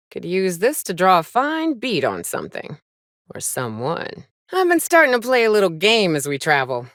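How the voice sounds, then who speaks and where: An actress playing a tough, wry, hard-drinking space trailblazer in a video game, with a sardonic, folksy and salty-tongued manner. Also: female voice, on the low side, fairly bright tone, dry recording, clean studio sound, full character voice. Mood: dry, watchful. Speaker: companion character in a video game. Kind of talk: video game voice acting, short reactive lines.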